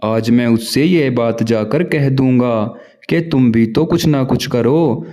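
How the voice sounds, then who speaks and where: Man playing a gruff cartoon crow husband, mellow dark voice, slow and scolding. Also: medium pitch, close to an everyday voice. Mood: grumbling. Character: grumbling hardworking male crow husband. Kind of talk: cartoon voice acting.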